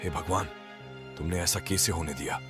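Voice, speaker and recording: A man's voice: deep voice, moderate pace, music in the background, close to an everyday voice. A man playing a humble, worried street food vendor and family man in a moral-story cartoon, speaking earnestly. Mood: despairing.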